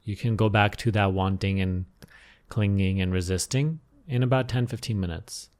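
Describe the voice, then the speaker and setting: A man leading an unscripted guided meditation as a teacher, giving gentle, unhurried instructions with pauses and repeated phrases. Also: on the low side.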